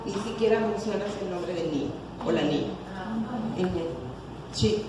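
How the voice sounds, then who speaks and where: A woman's voice: fairly low pitch, moderate pace. Mexican lady giving a talk, explains with emphasis, distant mic, lots of background noise. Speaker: lecturer, clinical psychologist. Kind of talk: conference talk answering audience questions. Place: conference hall with reverberation. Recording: echoey hall, distant mic, noisy.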